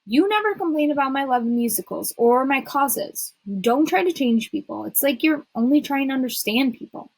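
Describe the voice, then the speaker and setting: A woman's voice: high-pitched, lively intonation, normal speed, hardly any pauses, voice pushed up. A woman voicing a talkative, dramatic schoolgirl in an audiobook, emphatic and pleading with her best friend. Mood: earnest.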